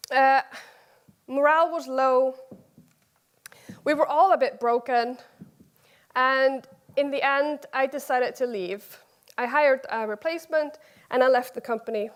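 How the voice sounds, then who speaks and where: A woman in her thirties with an Icelandic accent, giving an informal conference talk as personal storytelling, in halting short phrases. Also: sits high, fairly even intonation. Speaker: conference speaker.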